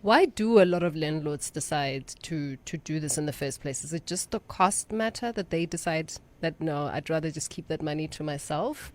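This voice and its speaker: A woman co-hosting a radio show, chatty and quick to add remarks. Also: low-pitched. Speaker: radio co-host.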